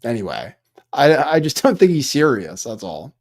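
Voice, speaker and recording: A man's voice: medium pitch, sing-song, normal speed, darkish tone. A man hosting a livestream, commenting casually in an offhand, dry way with rambling asides. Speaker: livestream host.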